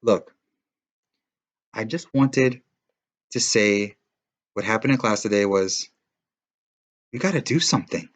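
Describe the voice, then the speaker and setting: A man's voice: lowish voice, expressive, slow pace, long pauses. A man voicing a determined, sarcastic teenage girl who confronts her classmate in a read-aloud story. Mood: challenging.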